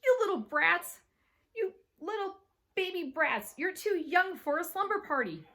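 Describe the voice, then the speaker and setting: A superior older-sister type acted by a woman in a children's story. She hollers and scolds in a high, animated voice. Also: a little slow, full character voice. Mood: scolding. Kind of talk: children's audiobook character acting.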